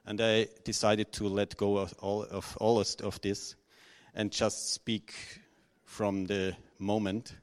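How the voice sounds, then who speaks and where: Halting, nervous man, low voice. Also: little pitch movement, clear, resonant tone. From Austria. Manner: halting, many restarts and fillers. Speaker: conference speaker. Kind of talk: unscripted talk to an audience.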